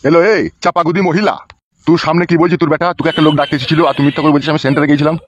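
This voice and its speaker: Guy doing an angry suspicious cartoon husband, rapid fire, barking n cursing, bit muffled. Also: a little high, fairly even intonation, natural voice. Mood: suspicious, scolding. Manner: barking, accusing, foul-mouthed. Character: hot-tempered, suspicious village politician husband, gruff bully. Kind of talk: comic cartoon dialogue.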